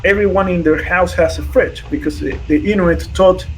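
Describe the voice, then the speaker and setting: A man explaining something on a livestream in a halting way, often checking in with "right?" and filling gaps with uh. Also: high voice, steady tone, a little hiss or hum, rough recording. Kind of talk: casual explanatory talk.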